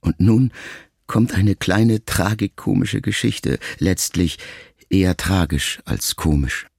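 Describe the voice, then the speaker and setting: A man reading a literary memoir aloud as an audiobook, in a calm, measured first-person voice. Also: low-pitched, vocal fry, breathy edge, dry recording. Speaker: audiobook narrator.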